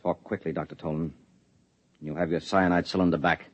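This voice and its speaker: A man's voice: sits low, little pitch movement, brisk. An actor playing a hard Earthman interrogating an alien in a 1950s radio drama, clipped and menacing. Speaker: husband in a radio play. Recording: dull vintage radio recording.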